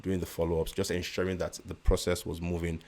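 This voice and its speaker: Very deep-voiced Nigerian man. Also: monotone, a little mellow, a little hiss or hum. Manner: measured, with frequent restarts and stutters. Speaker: guest, real estate investor. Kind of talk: casual interview conversation.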